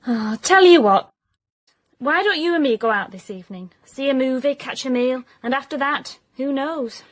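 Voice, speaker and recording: A woman plays a cheeky Yorkshire sidekick in a radio comedy drama. Her voice sits high, and she needles with brisk, deadpan, no-nonsense wit. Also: natural voice. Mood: playful. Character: cheeky, no-nonsense Yorkshire woman, detective's sharp-tongued assistant. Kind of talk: comic radio drama acting.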